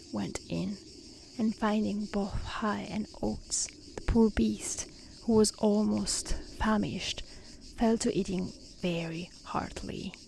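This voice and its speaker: A woman reading a storybook aloud in a soft, breathy, half-whispered ASMR style, gently and carefully. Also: mid-range voice, poor audio. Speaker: narrator reading a fairy tale. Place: outdoors in nature. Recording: faint outdoor insect noise. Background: crickets and insect ambience.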